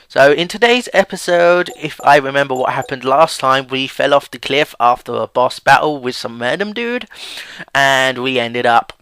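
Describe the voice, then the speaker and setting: A man's voice: medium pitch, expressive, normal speed, a bit bright, clear, resonant tone, no echo. A young man hosting a let's play with casual, self-deprecating game commentary. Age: young adult. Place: voice-over with game music behind.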